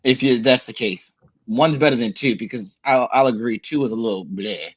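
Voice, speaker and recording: A man's voice: mid-range voice, moderate pace. A man hosting a wrestling podcast, making his points emphatically in casual banter and swearing freely. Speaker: podcast host.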